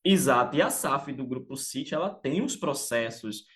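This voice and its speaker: A man's voice: medium pitch, animated, normal speed, a bit bright. A man from Salvador, co-hosting a casual sports podcast and talking with many fillers and restarts. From Salvador, Bahia, Brazil. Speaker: podcast co-host.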